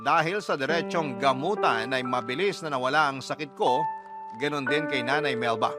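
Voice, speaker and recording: A man's voice: medium pitch, normal speed, over loud music, lightly acted. An actor narrating as the wistful, self-pitying child of a poor mother in a confessional radio drama. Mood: grateful, reflective.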